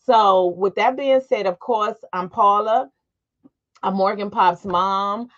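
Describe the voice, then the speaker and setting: A woman's voice: medium pitch, slow, a bit bright. A middle-aged American woman hosting an informal panel discussion. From Washington DC area, United States. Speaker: host. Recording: dull, lo-fi call audio.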